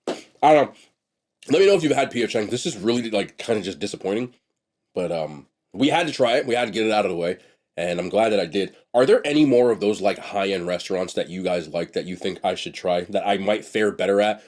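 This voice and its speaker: A man's voice: lowish voice, expressive, fairly quick, a little mellow. A young man reviewing food on camera, with a congested, sniffly nasal voice, talking bluntly and chattily and trailing off into restarts. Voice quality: nasal from a blocked nose. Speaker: food vlogger. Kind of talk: casual mukbang food review.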